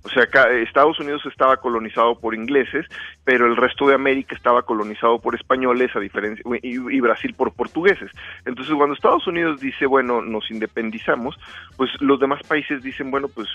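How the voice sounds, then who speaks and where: A man's voice: mid-range voice, a little fast, sharp tone, no echo. A man talking casually as an interview guest, explaining things and often voicing imagined dialogue. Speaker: interview guest, author and researcher. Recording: dull narrow-band dry sound, music behind.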